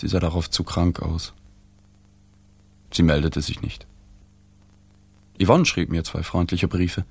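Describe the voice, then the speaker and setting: An actor playing a reflective, reserved journalist narrating a radio drama in a measured, sober, understated way. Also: male voice, low-pitched, slightly rough, dry recording, full character voice. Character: reflective, reserved male journalist recalling a dying woman. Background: music.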